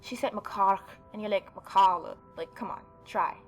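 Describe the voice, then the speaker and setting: A woman gaming YouTuber reading a story game aloud and adding expressive, mocking asides. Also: medium pitch, normal speed, soft background music. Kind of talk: let's-play reading aloud with reaction commentary.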